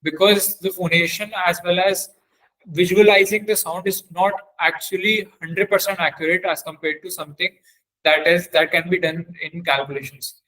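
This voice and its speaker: A man with a somewhat high-pitched voice leads a technical webinar. He talks like a trainer walking through a demonstration on screen. His explanation is halting, with repeated phrases and self-corrections. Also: fairly even intonation, sharp tone, poor audio.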